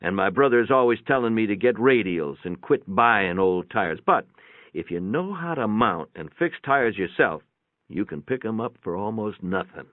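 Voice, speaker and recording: A man's voice: on the low side, lively intonation, moderate pace. A man reading a first-person short story aloud in a folksy, plainspoken storytelling manner. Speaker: narrator. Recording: boxy room echo, tinny phone-like sound.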